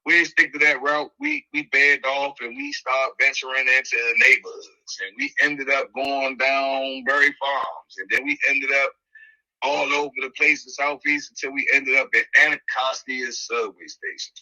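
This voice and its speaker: A man with a Washington, D.C. accent hosting a podcast, telling a personal story casually in animated, slangy talk, repeating phrases for emphasis. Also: mid-range voice, normal speed, dull audio. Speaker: podcast host.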